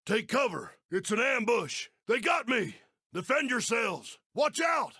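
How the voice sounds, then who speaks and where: A man's voice: very high voice, very animated, measured pace, sharp tone, slightly rough, dry recording, clean studio sound, exaggerated voice. A man playing a folksy, aging, kindly but worried Old West sheriff in a video game, with an American Western accent and neighborly, drawling speech. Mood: alarmed, urgent. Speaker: mission-giving game character. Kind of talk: video game character acting.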